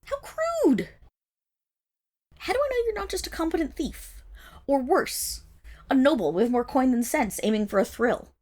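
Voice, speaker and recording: A woman's voice: sits high, very animated, measured pace, long pauses, a little mellow, heavily acted. A woman playing a haughty, indignant young wolf monstergirl of noble birth in a dramatic ASMR roleplay, speaking disdainfully straight to the listener. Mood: indignant, mocking.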